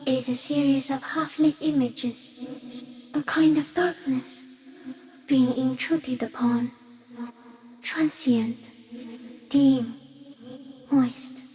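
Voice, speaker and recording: Slow, high-voiced, otherworldly insect creature. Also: female voice, fairly even intonation, mellow, rough recording. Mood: serene, reflective. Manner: slow, halting, deliberate musing in short fragments. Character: ancient, serene, otherworldly giant stick insect, detached and curious. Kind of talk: video game character voice acting.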